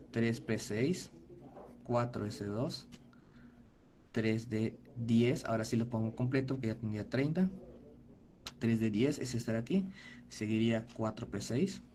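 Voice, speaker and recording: A man's voice: lowish voice, mellow. A male teacher giving an online class, explaining methodically step by step and checking in with his students.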